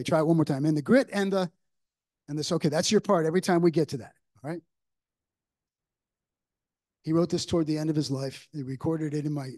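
Higher-voiced older male preacher. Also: a little mellow. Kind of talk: conversational sermon. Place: church sanctuary, at a wooden lectern. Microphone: microphone on a stand at the lectern.

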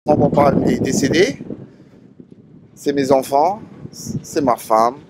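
A man's voice: mid-range voice, animated, slow, noisy background. A middle-aged man interviewed on a night street, speaking in a heartfelt way. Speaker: passerby interviewee. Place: city street at night, outdoors.

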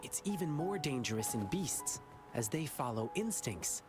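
A man voicing an earnest, boyish young lion dancer in a video game, telling his story in measured, wistful dialogue. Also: medium pitch, very animated, lightly acted. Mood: solemn. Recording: game music underneath.

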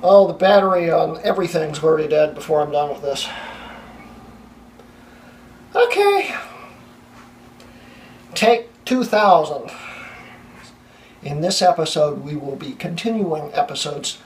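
Young male tech vlogger, a bit high-pitched, hoarse, flustered, recorded from a distance in a small computer room with a light hiss. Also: measured pace, long pauses. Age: young adult. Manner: flustered, laughing at his own slip-ups. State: losing his voice, coughing. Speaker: tech channel host. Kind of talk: blooper reel of a tech vlog. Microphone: camera mic from a few feet away. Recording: distant camera mic, faint room hiss.

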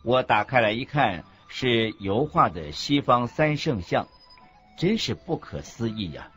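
A man playing a Buddhist monk teacher in a scripted radio lecture, husky, expressive and didactic. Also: medium pitch, measured pace, exaggerated voice. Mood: earnest recounting. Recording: dull lo-fi recording with faint music.

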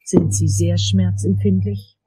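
A woman playing a composed, courteous dentist with brisk authority in a crime radio play. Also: on the low side, steady tone, gravelly, warm tone, breathy edge, dry recording, soft background music, exaggerated voice, poor audio. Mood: polite, businesslike.

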